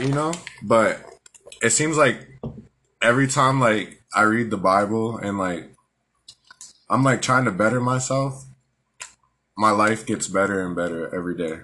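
A man's voice: lowish voice, bright, forceful tone. Hesitant young man in his twenties. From American Southwest. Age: 20s. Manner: hesitant, full of "like" and "you know". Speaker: guest. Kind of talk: casual chat.

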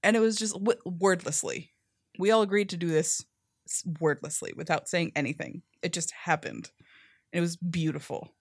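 Deep-voiced young woman, chatty storyteller. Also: normal speed, no room sound, clean studio sound. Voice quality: fairly deep voice. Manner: animated storyteller, says "like" often. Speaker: podcast co-host. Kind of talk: casual chat.

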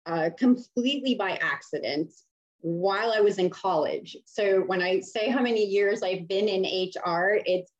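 A woman's voice: medium pitch, moderate pace. Chatty woman guest telling a story, lots of "um" and "you know", lo-fi dull sound. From Miami. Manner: chatty, animated, many fillers and laughs. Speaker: HR leader, guest. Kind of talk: casual interview storytelling. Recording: slightly dull lo-fi audio.

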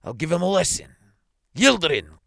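A man's voice: mid-range voice, big pitch swings, measured pace, no room sound, clean studio sound. A man voicing a stern, pompous police superintendent in a story reading, barking orders and questions. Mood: stern, threatening. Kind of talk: dramatised short story reading.

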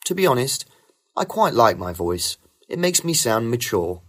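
A man reading rehearsed model answers for a speaking test in a smooth, even way. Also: mid-range voice, normal speed. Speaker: sample test candidate.

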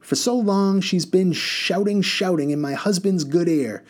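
A man voicing a quarrelsome woman passenger who shouts and scolds shrilly. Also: high-pitched, measured pace, voice pushed up. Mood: scolding.

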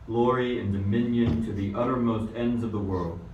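A roomy recording, slightly far from the mic. A man plays a majestic wise king in a radio drama, speaking low with grave ceremony. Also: fairly even intonation, normal speed, a little mellow, dull audio, lightly acted. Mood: stately. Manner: stately, ceremonious. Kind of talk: radio play acting. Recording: roomy, distant mic, faint noise.

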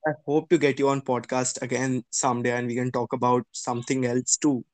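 Casting: young man, easygoing podcast host, mid-pitched, loose and sympathetic delivery. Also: flat delivery, fairly quick, darkish tone. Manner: sympathetic, repeats exclamations, rambling questions. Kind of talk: casual interview chat.

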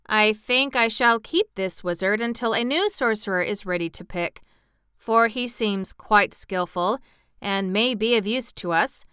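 A woman's voice: mid-range voice, unhurried, sharp tone, smooth, no room sound, studio quality. A woman voicing a cold, imperious male prince of a plant people in a storybook reading, speaking formally and haughtily.

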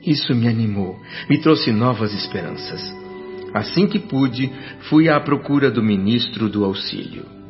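An actor playing a humble, earnest doctor in the spirit world, speaking formally and courteously in a radio drama. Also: male voice, mid-range voice, animated, unhurried. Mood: humble, yearning. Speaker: lead actor and first-person narrator. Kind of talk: radio drama acting and reflective narration. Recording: dull lo-fi radio drama with music. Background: music.

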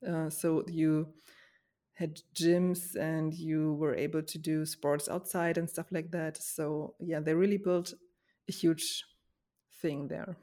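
A woman talking casually about herself in a reflective, slightly halting way, as in a conversation between co-hosts. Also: deep voice, little pitch movement, mellow. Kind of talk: casual personal chat.

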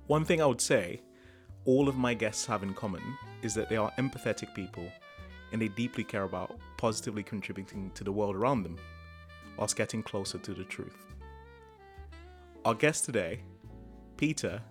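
A male podcast host with a fairly low voice, speaking in an earnest, carefully worded way. Faint guitar music plays softly behind him. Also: moderate pace, pauses often. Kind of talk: scripted introduction then casual chat.

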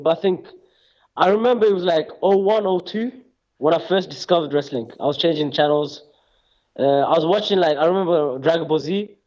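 A man's voice: on the high side, darkish tone. Hesitant young Englishman, dull lo-fi sound. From England. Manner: rambling, hesitant, many fillers and restarts. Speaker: guest, wrestler. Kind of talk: casual interview chat.

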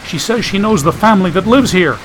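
Man doing an urgent, high, polite young fellow. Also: lively intonation, fairly quick, a bit bright, a little hiss or hum, poor audio. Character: anxious, polite young man seeking shelter. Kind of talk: audiobook character voice.

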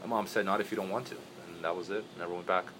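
A man who grew up in Brazil, rambling in a relaxed interview with many restarts and fillers. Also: low voice, fast talker, slight background noise. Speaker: interview guest. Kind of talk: casual interview talk.

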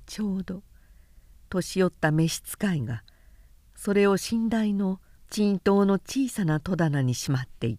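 A woman narrating a literary novel in audiobook style, with measured, deliberate phrasing and pauses between phrases. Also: lowish voice, warm tone, no echo, studio quality. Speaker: narrator reading a novel aloud.

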